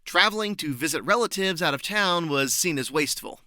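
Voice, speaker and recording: A man giving prepared, articulate answers as an interview guest, informative and measured in his phrasing. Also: a little high, expressive, pushed and bright, no room sound, clean studio sound.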